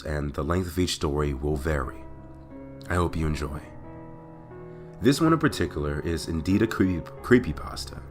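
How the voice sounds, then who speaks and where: Male podcast narrator for creepy tales: low register, dramatic emphasis, guitar music underneath. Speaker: podcast host and story narrator. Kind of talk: dramatic reading of creepypasta stories.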